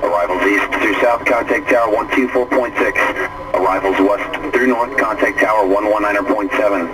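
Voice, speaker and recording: A man reading a recorded airport information broadcast in a flat, formulaic way. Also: on the low side, normal speed. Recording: distant noisy radio broadcast.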